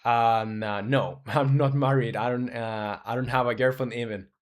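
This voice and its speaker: A young man in his twenties talks casually on a live stream while building a game. His voice sits in a middle pitch, and he rambles along, thinking aloud with plenty of ums and uhs as he reads and answers chat. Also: steady tone, moderate pace. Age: 20s.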